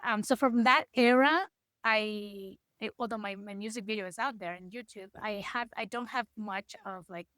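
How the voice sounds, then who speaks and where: A middle-aged woman from Ecuador, speaking English with an Ecuadorian Spanish accent as a guest musician, lively and sometimes searching for words. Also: mid-range voice, moderate pace, no room sound. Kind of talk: casual interview chat.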